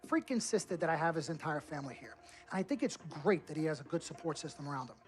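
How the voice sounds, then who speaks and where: A man's voice: a little high, expressive, fast, faint music behind, natural voice. An actor playing a slick, boastful sports agent in a game cutscene, talking in emphatic salesman patter. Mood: boastful, persuasive. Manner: emphatic salesman patter, repeats himself for effect. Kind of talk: video game cutscene acting.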